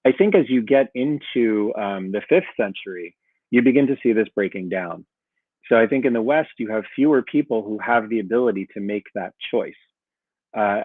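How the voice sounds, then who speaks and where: A man with a fairly low voice answers a question at length, thoughtful and full of fillers. His voice comes over a tinny, phone-like video call line, and the sound is dry with no room echo. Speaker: guest lecturer. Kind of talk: academic Q&A answer.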